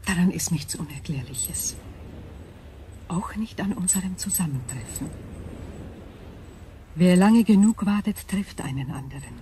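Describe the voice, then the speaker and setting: Mellow, ancient female crystal alien. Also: lowish voice, animated, slight background noise. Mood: detached, explanatory. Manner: measured, formal, precise diction. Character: alien crystal being, female-voiced, ancient, calm, coolly logical. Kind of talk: German radio drama acting.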